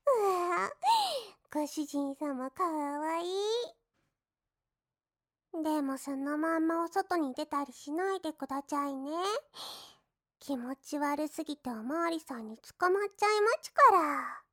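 A woman's voice: sits high, unhurried, bright, forceful tone. A woman voicing a young maid who teases her master in sing-song baby talk with lisped endings. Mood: teasing. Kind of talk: roleplay audio drama.

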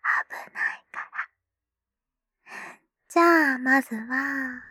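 A woman voicing a teasing, doting schoolgirl little sister in a whispered ASMR roleplay, breathy and playful. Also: sits high, dry recording, exaggerated voice. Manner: teasing, playful, slow and soft. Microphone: close microphone.

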